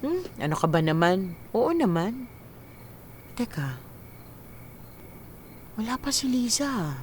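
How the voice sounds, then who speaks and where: A woman's voice: mid-range voice, sing-song, slow pace, slight background noise. A woman acting an anxious, ailing mother in her forties in a Filipino radio drama, hesitant and stammering when worried. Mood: weary, homely. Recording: background music under the voice.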